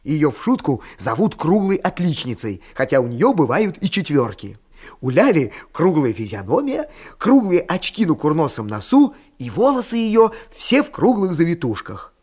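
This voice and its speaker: A man with a husky voice playing a wry, gently amused narrator of a children's radio play. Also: fairly high pitch, wildly swooping pitch, normal speed, exaggerated voice.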